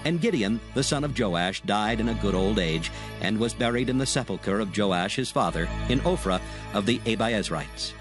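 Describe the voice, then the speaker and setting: A man narrating a dramatized scripture recording in a solemn, measured storytelling manner. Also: fairly low pitch, expressive, music in the background.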